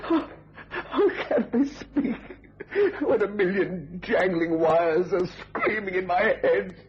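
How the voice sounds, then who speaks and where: A high-pitched, anguished young man. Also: takes it slowly, slight background noise. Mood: hysterical, anguished. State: anguished, near tears. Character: tormented, drugged, trembling hysterical young man. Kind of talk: old radio thriller acting. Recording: muffled old radio recording.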